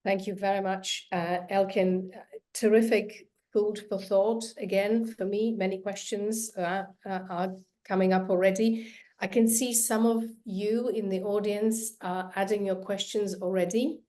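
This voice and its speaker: Female moderator, mid-pitched, mellow, polite. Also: steady tone, a little slow. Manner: polite, fillers between phrases. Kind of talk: online panel moderation.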